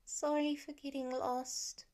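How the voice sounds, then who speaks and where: Small boy, five, earnest and insistent, high voice, played by a woman narrator, audiobook. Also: little pitch movement, slow pace, darkish tone. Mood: small, reluctant. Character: stubborn, earnest five-year-old boy, tired and pouty. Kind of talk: audiobook character voice in fan fiction.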